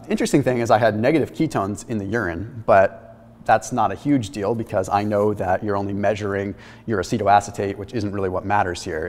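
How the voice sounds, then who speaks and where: A man in his thirties talks straight to the camera, walking through his lab results with energetic, emphatic explanation. His voice sits at an ordinary middle pitch, and a lingering reverb trails his words around the kitchen studio set. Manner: energetic, explanatory, emphatic, gesturing while holding papers. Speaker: health and fitness channel host.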